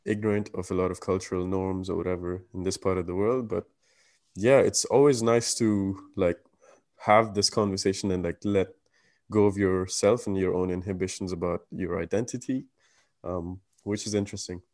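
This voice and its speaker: A man from the Gulf, a guest on a podcast, talking in a thoughtful, measured way with pauses and ums. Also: low-pitched, fairly even intonation, a little mellow. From Gulf region. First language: not English. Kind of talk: casual chat.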